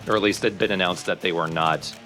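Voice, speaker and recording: A man's voice: low-pitched, fairly even intonation, fast talker, pushed and bright, faint music behind. A man co-hosting a let's play, giving opinions in casual conversational game commentary. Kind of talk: casual gaming commentary chat.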